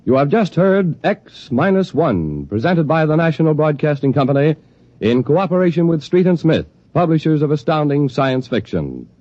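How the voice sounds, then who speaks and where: A man giving a formal, measured closing announcement on a 1950s network radio show. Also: fairly high pitch, big pitch swings, a little mellow, no room sound. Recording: dry dull vintage broadcast.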